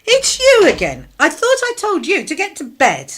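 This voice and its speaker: An actress playing a weary, sarcastic 1950s British working-class wife in a radio drama, with a clipped, irritable manner. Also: female voice, extremely high pitch, huge pitch swings, normal speed, a bit bright, a little hiss or hum, full character voice. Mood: exasperated.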